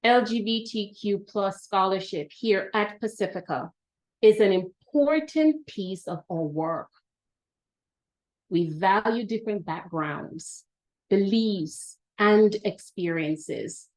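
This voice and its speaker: Slow, deliberate Jamaican woman. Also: medium pitch, low-quality recording. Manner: slow, deliberate and emphatic, with repeated phrases. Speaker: institute leader giving a welcome address. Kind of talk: prepared inspirational speech. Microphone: video call.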